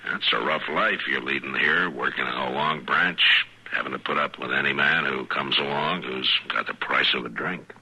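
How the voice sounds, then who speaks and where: Steady Western lawman, very low pitch, forceful bright edge, American, measured, old radio drama. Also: breathy edge, full character voice, rough recording. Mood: calm, probing. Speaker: lead actor. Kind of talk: 1950s radio western acting. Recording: old 1950s radio broadcast.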